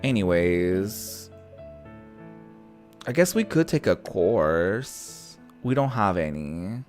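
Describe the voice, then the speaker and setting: A man's voice: low-pitched, big pitch swings, takes it slowly, darkish tone, soft background music. A man giving casual, chatty let's-play commentary in a playful, campy manner, reading bits of dialogue aloud. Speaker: video game streamer.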